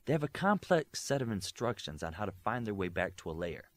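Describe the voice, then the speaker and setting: A man's voice: fairly low pitch, very animated, a little fast. A man voicing a busy, methodical female tech analyst in an audiobook, detailed and businesslike. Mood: matter-of-fact.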